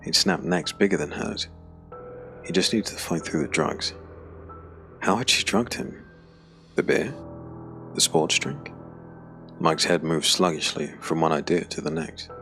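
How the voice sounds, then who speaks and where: Male narrator for dark fantasy: extremely low, creaky voice, measured dramatic phrasing over prominent music. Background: background music.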